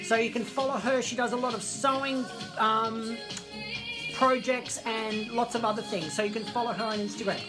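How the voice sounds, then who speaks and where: A woman's voice: on the high side, fairly even intonation, moderate pace. A woman hosting an online story time, chatting to viewers in a theatrical, gushing way. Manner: theatrical, gushing, addresses audience as darlings. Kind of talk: chatty show and tell to viewers. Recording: music playing in the background. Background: background disco music.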